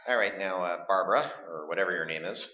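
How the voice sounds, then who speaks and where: An actor playing a stubborn, defensive middle-aged husband in a staged radio play, arguing and stammering. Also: male voice, low voice, fast talker, lightly acted. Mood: irritable. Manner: stammering, argumentative. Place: live stage performance in a room. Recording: dull, echoey stage recording.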